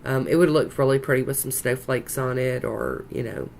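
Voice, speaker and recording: A congested woman with a croaky voice and a Southern US accent, chatting casually in a folksy, rambling way as she narrates a nail art tutorial. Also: rumbling low, normal speed, a little mellow. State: congested with a sinus infection, sore throat. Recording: noticeable background noise.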